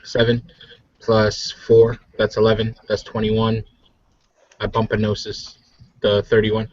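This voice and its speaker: A man's voice: on the low side, completely flat delivery, a little slow, a little mellow, no echo, lo-fi sound. A man taking part in a tabletop roleplaying game as a player, talking casually. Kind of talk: casual chat during a game.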